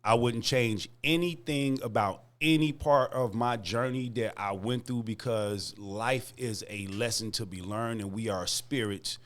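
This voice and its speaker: An American man talks as a guest in a relaxed interview. His voice sits at an ordinary middle pitch. He uses plenty of slang and leans on his words for emphasis. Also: unhurried, hardly any pauses. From Chicago, United States. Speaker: guest, music artist and label CEO. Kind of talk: casual interview chat.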